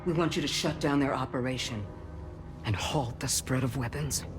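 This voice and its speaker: An actor playing a weary, earnest doctor in a dramatic game cutscene, speaking in a deliberate, solemn way. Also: male voice, medium pitch, lightly acted. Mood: resolute. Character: weary, earnest male doctor sheltering persecuted mothers. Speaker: doctor leading a refugee community. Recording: music under the voice.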